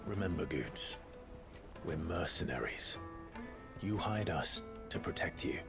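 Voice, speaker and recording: An actor playing a stoic, guarded mercenary in a video game cutscene, with a gruff voice. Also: male voice, rumbling low, animated, a little slow, heavily acted. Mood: calm, resolute. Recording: music behind the voice.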